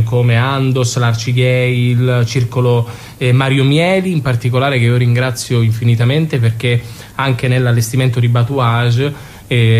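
A man gives an explanatory answer in a radio interview. He is mid-pitched and halting as he searches for words, with faint noise in the recording. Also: darkish tone. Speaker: theatre company member, interview guest.

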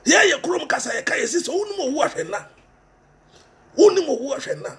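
A man's voice: very high-pitched, sing-song, fairly quick, fairly bright tone. A middle-aged man with a Ghanaian accent preaching a sermon with passion, repeating phrases for emphasis and switching between English and Twi, recorded on a headset mic in a small room.

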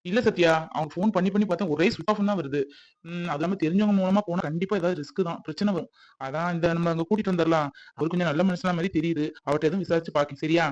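A man's voice: a little high, fairly even intonation, rapid-fire, natural voice. A young adult man playing a protective young man who soothes his partner and keeps checking that she agrees. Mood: reassuring, firm. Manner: soothing, insistent, repeats "okay?". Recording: dull lo-fi audio.

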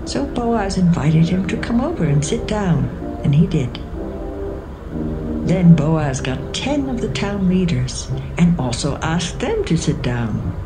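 Slow, low-pitched woman narrator over music. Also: sing-song. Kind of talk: scripted Bible narration. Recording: music behind the voice.

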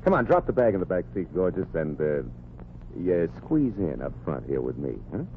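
An actor playing a brash, flirty small-town wolf with slangy come-ons in a 1940s radio comedy. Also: male voice, sits low, sing-song, moderate pace. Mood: flirty, coaxing. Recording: noisy, tinny 1940s radio recording.

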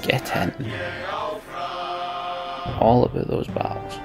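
A man's voice: takes it slowly, over music. A man hosting a let's play, casually muttering his thoughts in short fragments and reading story text aloud.

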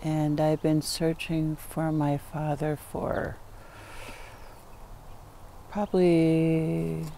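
A woman's voice: low-pitched, flat delivery, very slow, creaky, pauses often, dark tone, slight background noise. A woman in her sixties recalling her life in an unscripted, hesitant way, recorded outdoors in a backyard. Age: 60s. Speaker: documentary interviewee. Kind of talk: unscripted personal recollection.

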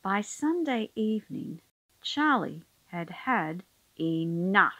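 A woman's voice: mid-range voice, very animated, slow pace, pushed and bright, no room sound, muffled recording. A woman reading a children's picture book aloud as storyteller, expressively, drawing out key words for emphasis.

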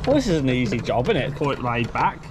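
A man's voice: medium pitch, very animated, brisk. A man in his thirties with an English accent, chatting and joking while fitting parts to a truck outdoors. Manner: chatty, jokey, exclaims often. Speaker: trucker vlogger. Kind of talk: casual banter while working. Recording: outdoor noise of yard and vehicles.

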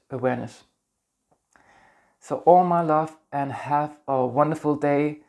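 A man in his thirties from Denmark with a Danish accent, talking earnestly to camera in a small room on a lapel mic, gentle and a little halting. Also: medium pitch, gravelly. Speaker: spiritual vlogger. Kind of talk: casual talk to camera.

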